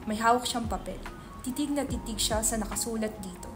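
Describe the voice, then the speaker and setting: A woman's voice: fairly high pitch, a little fast. A woman reading stage directions as the scripted narrator of a play. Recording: clear background music under voice.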